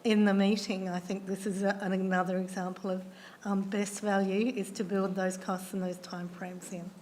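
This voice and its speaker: Hoarse woman, flat steady delivery. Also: mid-range voice, slight background noise. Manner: measured, with frequent ums and restarts. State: losing her voice. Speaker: council officer answering councillors. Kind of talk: formal meeting answers.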